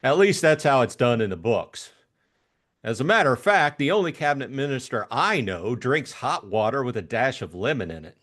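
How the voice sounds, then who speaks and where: A breezy young gentleman adventurer in a classic mystery audiobook, voiced by a man. He is chummy, jaunty and slangy, with very animated pitch. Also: moderate pace. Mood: teasing, speculative.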